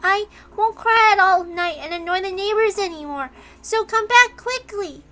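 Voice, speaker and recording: A woman's voice: extremely high pitch, normal speed, fairly bright tone, faint music behind. A woman voicing a lonely, earnest little girl who is devoted to her mother, in a dramatic story reading. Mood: pleading, dutiful. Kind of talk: dramatic reading of a visual novel.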